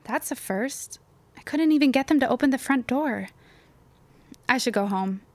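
A woman's voice: high voice, lively intonation, normal speed, darkish tone, dry recording. A woman playing a plucky, nervous, scheming teenage girl with a mannered delivery in a period audio drama. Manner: mannered, self-coaxing.

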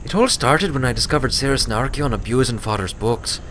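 A man's voice: mid-range voice, expressive, a little fast, fairly bright tone, slight background noise, pitched above the performer's own voice, close to an everyday voice. A man voicing a smug, serious young filly pony who explains things matter-of-factly in a story reading. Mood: explanatory.

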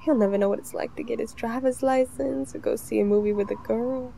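A woman's voice: on the high side, steady tone, a little fast, vocal fry, warm tone, slight background noise. A woman playing a worried, wistful mother among survivors in a comic dub, speaking pensively. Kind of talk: amateur comic-dub voice acting.

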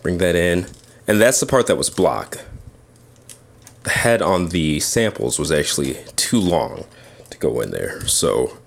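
A man's voice: low voice, big pitch swings, a little slow. A man reviewing toys in an informal, matter-of-fact way, as a casual hands-on demonstration. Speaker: toy reviewer. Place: indoors at a tabletop display. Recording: clear background noise, handling clicks.

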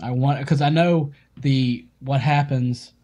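A man in his thirties co-hosting a casual podcast, chatty and quick to laugh. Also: mid-range voice, fairly even intonation, dark tone. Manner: chatty, laughs easily, riffs on the other host. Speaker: podcast co-host. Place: small studio with city-skyline backdrop.

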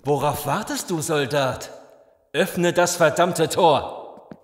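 An actor playing a cold, domineering gang leader who threatens and barks orders in a German audio drama. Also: male voice, fairly high pitch, slow, slight background noise. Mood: commanding. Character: menacing gang leader, cold, commanding man. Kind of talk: German post-apocalyptic audio drama acting.

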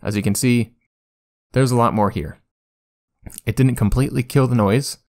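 A man's voice: mid-range voice, moderate pace, a little mellow. Self-deprecating man giving a casual tutorial. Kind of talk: casual software screen-recording tutorial.

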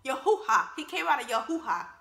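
A woman's voice: high voice, sing-song, a little fast, gravelly, roomy sound, noisy background. A woman in her twenties giving casual, laughing commentary on a film, full of slangy asides, speaking into a wired earphone mic in a bare room. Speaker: YouTuber reacting to a film.

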